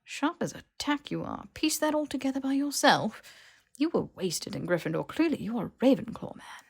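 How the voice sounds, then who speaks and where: A woman's voice: sits high, big pitch swings, moderate pace, gravelly, breathy edge, pitched above the performer's own voice, full character voice. A woman voicing a sarcastic, testy young aristocratic wizard man with a scoffing drawl, in a fantasy audiobook. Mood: exasperated, mocking.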